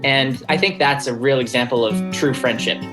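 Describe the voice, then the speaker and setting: Woman with a low voice, reflective interview talk, plucked guitar underneath. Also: normal speed. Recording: guitar music behind the voice.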